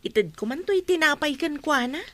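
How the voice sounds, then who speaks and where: An actress playing a sympathetic, helpful neighbour in a radio drama, with an Ilocano Filipino accent. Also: female voice, high-pitched, lively intonation, moderate pace. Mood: concerned.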